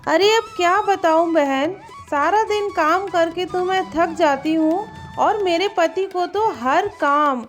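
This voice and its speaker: A work-shy, complaining village wife from a cartoon moral story, played by a woman. Her voice is very high and plaintive, with music underneath. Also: takes it slowly, few pauses.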